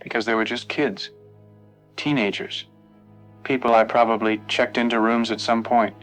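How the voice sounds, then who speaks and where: A man's voice: medium pitch, level tone, moderate pace, a bit bright, close to an everyday voice. A man plays a weary, frightened night-shift motel clerk who hasn't slept, talking in an anxious, worn voice over faint music. He sounds jumpy and unconvinced by any comfort. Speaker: caller.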